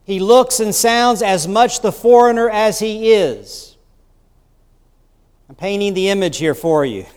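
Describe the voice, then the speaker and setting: A man's voice: high voice, lively intonation, a little slow, a little mellow, clear, resonant tone. A man preaching a sermon as bishop, telling the story in a conversational way with rhetorical asides. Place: church sanctuary. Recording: long church reverb.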